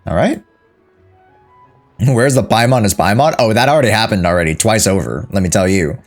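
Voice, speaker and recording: A man's voice: on the low side, lively intonation, moderate pace, faint music behind. A man giving casual live gameplay commentary, playful and exclaiming, often repeating his phrases. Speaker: game livestreamer.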